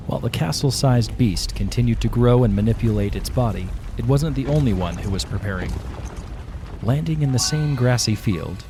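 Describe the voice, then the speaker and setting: Low-voiced man narrating over music. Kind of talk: scripted dramatic storytelling narration. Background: background music.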